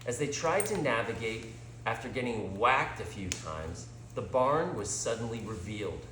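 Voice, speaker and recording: A man reading a story aloud as a storyteller, with deliberate, theatrical dramatic delivery. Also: mid-range voice, animated, a bit bright, a little hiss or hum. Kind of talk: scripted story narration, dramatic read-aloud. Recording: roomy, echoing sound.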